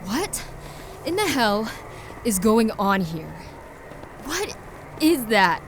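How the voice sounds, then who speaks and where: A woman acting out a young woman lost in a haunted maze, her voice rising and falling widely through wary questions and frightened cries. She speaks slowly, and a clear layer of background noise runs under the radio-play recording. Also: on the high side, very animated, heavily acted. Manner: wary and frightened, quick exclamations.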